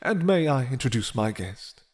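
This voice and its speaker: A man voicing a proud vampire lord in a dramatic roleplay, courtly, measured and threatening. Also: mid-range voice, very animated. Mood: formal, guarded. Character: proud, menacing, smooth-talking male vampire lord.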